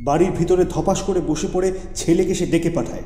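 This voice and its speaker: A man narrating a literary story as an audiobook, with expressive, theatrical delivery. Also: sits high, fairly quick. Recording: echoey reverberant voice over music.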